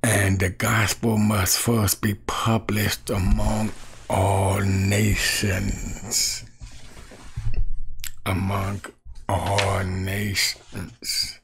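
Slow, gravelly middle-aged preacher. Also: male voice, lowish voice, little pitch movement. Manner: slow, deliberate, repeats key phrases, quiet and musing. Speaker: street evangelist. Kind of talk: Bible teaching to camera. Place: small room at home.

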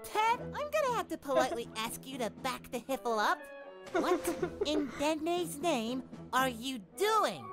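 A woman's voice: high-pitched, sing-song, unhurried, over music. A woman voicing a sarcastic, bookish eleven-year-old boy in a parody anime dub, dry and indignant.

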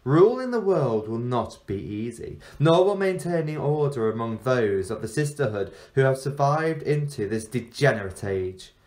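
A man's voice: medium pitch, sing-song, moderate pace, few pauses, darkish tone, voice pushed up, close to an everyday voice. A man reading a storybook, voicing an ancient enchantress who looks like a young girl, imperious and falsely innocent, drawing out her words. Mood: commanding.

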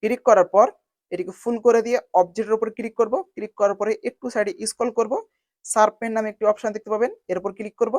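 A woman narrating a step-by-step software tutorial in an instructional manner, spelling out each step in repeated phrasing. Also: medium pitch, fairly even intonation, fast talker, no echo. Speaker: video editing tutorial host.

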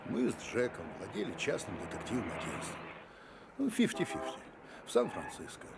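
Private detective, gravelly, highly varied intonation, conversational, clipped, radio drama, noisy old recording. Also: male voice, huge pitch swings, normal speed. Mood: matter-of-fact, clipped. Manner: conversational, clipped, thinking aloud. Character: male private detective, informal, speculative, shaken but composed.

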